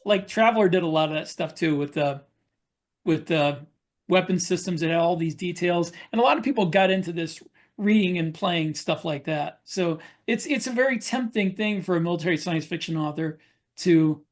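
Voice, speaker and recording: Male podcast panelist. Loose, hesitant delivery, feels his way through sentences. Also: high voice. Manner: halting, searching for words. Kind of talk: casual panel discussion.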